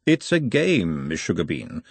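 A man acts out an urbane male tyrant from a fantasy storybook. He is formal, measured and dryly understated, with calculation under every phrase. The recording is dry and close. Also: sits low, huge pitch swings, breathy edge, no room sound, heavily acted. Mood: dry, composed. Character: urbane, wry, calculating male city ruler.

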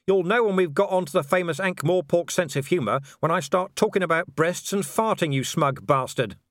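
A man voicing a gruff, weary older hero in an audiobook, shouting back with defiant sarcasm. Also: a little high, wildly swooping pitch, normal speed, no room sound, clean studio sound, pitched above the performer's own voice. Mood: sarcastic defiance. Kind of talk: audiobook character voice.

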